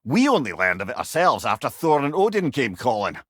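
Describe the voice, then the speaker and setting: A man's voice: medium pitch, very animated, a little fast, a bit bright, slightly rough, dry recording, clean studio sound. A man playing a witty, avuncular old Scottish counsellor in a video game, a severed head who talks in a wry, courtly, chatty way with salty turns of phrase. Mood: explaining. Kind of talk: video game character dialogue.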